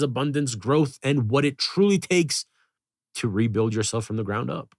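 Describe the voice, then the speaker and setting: Energetic male podcast host, mid-range voice, dead-dry studio sound. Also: medium pitch, dry recording. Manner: energetic, uses fillers like "you know". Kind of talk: scripted intro then casual interview.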